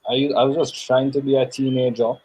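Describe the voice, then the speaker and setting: A young man, answering interview questions in a thoughtful, measured way with pauses. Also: mid-range voice, flat delivery, darkish tone, slightly rough, rough recording. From Jamaica. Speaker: interview guest.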